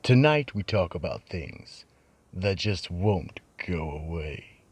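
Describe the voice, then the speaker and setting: A man giving ominous, measured narration for a horror radio show. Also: low voice, animated, darkish tone, husky.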